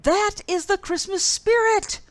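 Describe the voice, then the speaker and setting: A woman's voice: very high voice, measured pace, pitched above the performer's own voice. A woman voicing a stern, grumpy male bear in a storybook, pompous and scolding as he declaims. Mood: bellowing.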